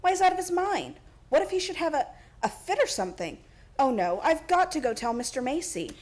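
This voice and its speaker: A woman's voice: high-pitched, sing-song, fairly quick, darkish tone. A woman acting an earnest, worried department store manager in a radio play, with an anxious way of talking.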